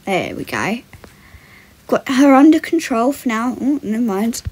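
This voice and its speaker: A woman's voice: fairly high pitch, big pitch swings, a little slow, a little mellow, slightly rough. A young woman giving casual vlog commentary while riding, breaking off into short soothing commands and murmured praise to a horse. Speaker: horse rider in a voiced role-play video.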